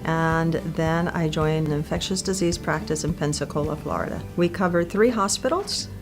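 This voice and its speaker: A middle-aged woman from Puerto Rico, a physician, talking about her career in a thoughtful, measured way with small pauses. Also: low voice. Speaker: infectious disease physician. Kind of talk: profile interview monologue. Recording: clear music bed under the voice.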